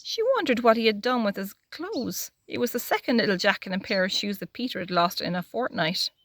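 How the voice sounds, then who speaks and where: A woman's voice: mid-range voice, expressive, fairly quick, fairly bright tone, slight background noise. A woman reading a children's storybook aloud as a storyteller, expressively, adding sympathetic little asides for young listeners. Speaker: library storyteller.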